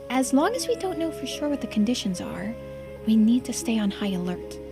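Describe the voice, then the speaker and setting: A serious, watchful companion in a mystery game, voiced by a woman reading aloud. The high voice moves expressively through careful, urgent warnings, with music behind it. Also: very animated, a little fast. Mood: analytical, cautious. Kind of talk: video game character dialogue read-aloud.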